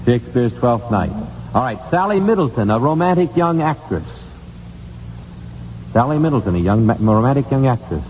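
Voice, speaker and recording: A man's voice: medium pitch, big pitch swings, moderate pace, darkish tone, long reverb tail, a little hiss or hum, poor audio. An American man hosting a 1950s radio quiz show, putting questions to contestants on an old broadcast recording.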